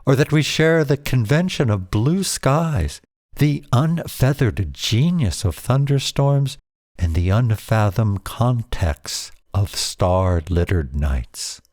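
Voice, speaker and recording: A man reading his own poem aloud as a scripted recitation, in a solemn, measured manner with deliberate phrasing. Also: mid-range voice, expressive, darkish tone, no echo, studio quality.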